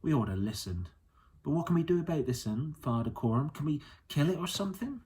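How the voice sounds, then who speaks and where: A man's voice: on the high side, sing-song, fairly quick, darkish tone, pitched above the performer's own voice. A man voicing a curious, bold young girl in an audiobook, eager in manner. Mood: urgent.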